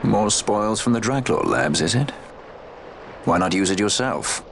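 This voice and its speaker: A man's voice: on the low side, expressive, a little slow, gravelly, background noise. An actor playing a suave male sky pirate in a video game, with a dry, teasing delivery. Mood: wry. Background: game score music.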